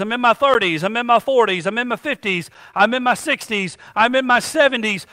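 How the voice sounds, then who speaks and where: A man's voice: extremely high pitch, pushed and bright, in a large hall. A man preaching a sermon to his congregation, calling out questions for them to finish. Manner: call-and-response preaching, asks the congregation to finish lines. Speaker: pastor. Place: church sanctuary.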